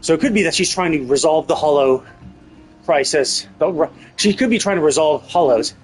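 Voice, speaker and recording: A man gives casual live-stream commentary in a slightly high voice, with music playing behind him. He reasons out loud, often repeating and rewording his points as he theorizes. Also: expressive, fairly quick, rough recording. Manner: thinking aloud, repeats and rephrases himself. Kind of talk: casual game commentary, speculating on story. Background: game music.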